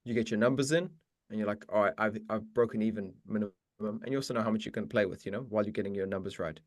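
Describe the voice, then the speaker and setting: A man's voice: lowish voice, racing, no room sound, studio quality. A man hosting a live Q and A for meditation teachers, chatty and encouraging, often restarting his sentences. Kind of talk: casual live-stream chat answering questions.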